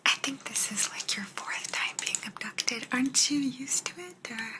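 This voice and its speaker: A woman playing a soothing, softly bossy alien abductor in a hushed, breathy ASMR whisper roleplay. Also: high voice, lightly acted. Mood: calm, clinical, attentive. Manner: slow, soothing, hushed, matter-of-fact.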